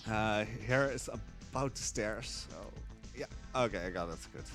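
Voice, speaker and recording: A man's voice: fairly low pitch, normal speed, faint music behind. A man commentating live on his own speedrun, explaining as he plays with many fillers. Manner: relaxed, hesitant with many fillers. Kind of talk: live commentary while playing.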